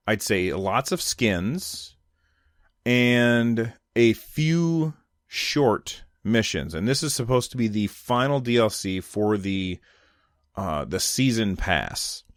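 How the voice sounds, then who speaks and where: Low-voiced man, casual gaming commentary. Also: no echo, clean studio sound. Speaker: gaming podcast host. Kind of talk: casual solo commentary on video games.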